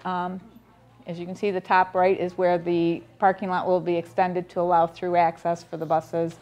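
A woman's voice: fairly low pitch, flat delivery, normal speed, a little mellow. A middle-aged woman presenting a school district budget at a public board meeting, methodically reading out figures with frequent ums and restarts, picked up by a distant camera in a meeting room. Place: board meeting room, standing by a projector screen. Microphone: far camera, room audio.

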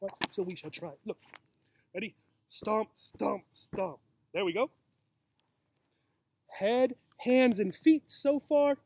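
A man reads a picture book to young children at a very unhurried pace. His voice sits fairly high for a man, and he talks simply and encouragingly. He is recorded outdoors at arm's length, with no echo at all. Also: expressive, darkish tone, slightly rough. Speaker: teacher reading a picture book aloud. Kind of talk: children's read-aloud. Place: outdoors in a grassy yard. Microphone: camera or laptop mic at about arm's length.

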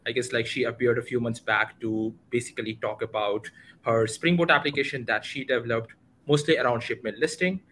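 A man's voice: medium pitch, fairly even intonation, fairly quick, a bit bright, a little hiss or hum. A man in his twenties with an Indian accent, casually hosting an online community meetup and introducing speakers in an informal way. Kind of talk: casual livestream event introduction. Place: home room, indoors, video call. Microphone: headset.

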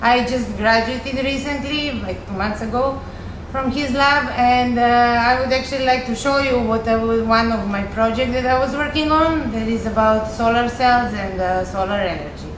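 A woman's voice: on the high side, moderate pace. A young woman from Serbia with a Serbian accent gives an informal, explanatory science presentation, speaking a little hesitantly and simply as a researcher. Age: young adult. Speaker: chemistry researcher, recent graduate. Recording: echoey room with faint noise.

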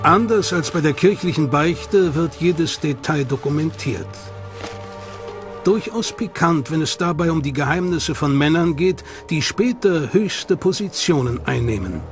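A man giving measured, serious scripted narration for a documentary. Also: a little high, lively intonation. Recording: music bed under voice.

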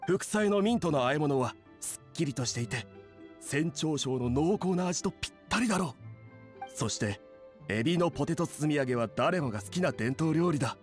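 A man's voice: mid-range voice, natural voice. A man voicing a proud, confident inn chef in an anime game, earnest and assertive.

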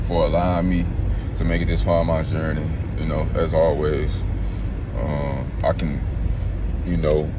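A young man talking casually and rambling into a phone held at arm's length in a car at night. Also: sits low. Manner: laid-back, rambling, slangy. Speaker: vlogger. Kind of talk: casual motivational vlog. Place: inside a parked car at night. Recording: noisy phone recording in a car.

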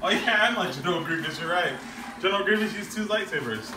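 A man's voice: high-pitched, lively intonation, quick pace, far from the mic. A man in his thirties talks casually and playfully with his family. He is some way from a phone microphone in a roomy home, with noise in the recording. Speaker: father in a family vlog. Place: home living and dining room. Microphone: handheld phone camera at some distance.

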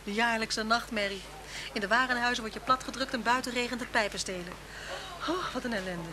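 Actress as friendly talkative woman passenger, slightly high pitch, wry, expressive, radio play, noticeable background noise. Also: brisk, natural voice. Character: chatty, forthright, friendly woman train passenger. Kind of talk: Dutch radio play acting.

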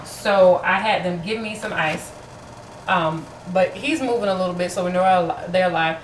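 A woman's voice: lowish voice, normal speed, low-quality recording. Woman, casual cooking vlog, home kitchen, camera mic, chatty with fillers, slight background noise. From Florida. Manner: chatty, self-deprecating, many fillers. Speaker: vlogger.

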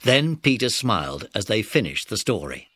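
A man's voice: on the low side, very animated, moderate pace, fairly bright tone, slight background noise. A man narrating a scripted children's story.

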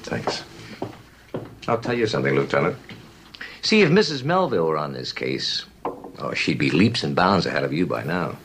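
An actor playing a smug, impatient mystery writer in a TV drama, suave and condescending in every remark. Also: male voice, a bass voice, very animated, moderate pace, gravelly, long pauses, background noise, full character voice. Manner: suave, superior, pointed. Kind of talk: television mystery drama acting.

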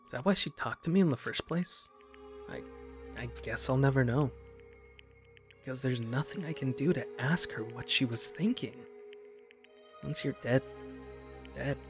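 A man's voice: medium pitch, lightly acted. Man acting an earnest, anguished teenage schoolboy hero. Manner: halting, brooding, dramatic.

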